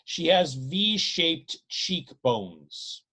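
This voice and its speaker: A man reading his own novel aloud as literary narration, in a deliberate, dramatic manner with drawn-out, emphatic phrasing. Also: a little high, big pitch swings, sharp tone, husky.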